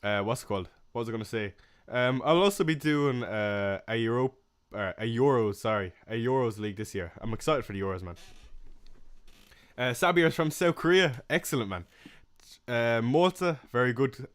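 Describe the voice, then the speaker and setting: A man's voice: medium pitch, moderate pace, clear, resonant tone. Laid-back young Irish man from Waterford. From Ireland. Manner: chatty, laid-back, frequent fillers and soft laughs. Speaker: fantasy football livestream host. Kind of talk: casual live-stream chat reading comments.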